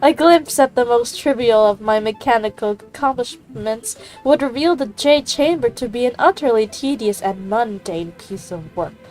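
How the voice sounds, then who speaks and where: Girl putting on a snooty immortal bird lady from a game, slow grand formal talk, kinda high, music behind. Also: darkish tone, lightly acted, rough recording. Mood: indignant, scornful. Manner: pompous, formal, stately declamation. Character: haughty ancient female bird immortal, proud, dismissive of mortals.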